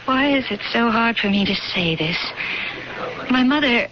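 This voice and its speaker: An actress playing an apologetic, troubled divorcee with a hesitant, confessional manner in an old-time radio drama. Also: female voice, a little high, lively intonation, unhurried, a crowd in the background. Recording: muffled, noisy old radio broadcast.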